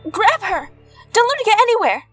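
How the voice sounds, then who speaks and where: A woman's voice: very high-pitched, very animated, brisk, soft background music. A woman voicing a manic, commanding young girl pony in an amateur audio drama, with an insistent manner. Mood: urgent, shouting. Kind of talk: amateur audio drama fan fiction reading.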